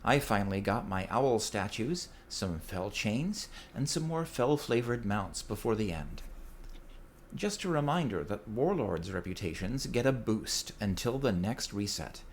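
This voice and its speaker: Low-voiced man reading droll gaming tips. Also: lively intonation, a little slow, a little hiss or hum. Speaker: podcast tips segment contributor. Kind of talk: scripted gaming tips segment.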